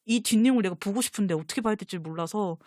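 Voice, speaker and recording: A woman's voice: medium pitch, racing, a little mellow, dry recording, studio quality. A woman chatting casually and enthusiastically as a fan guest in an interview.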